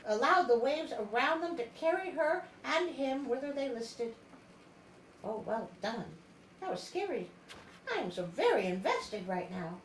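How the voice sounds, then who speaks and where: A woman reading a story aloud as its host, dramatic and playful, with chatty asides. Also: on the high side. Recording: roomy echo, clear background noise.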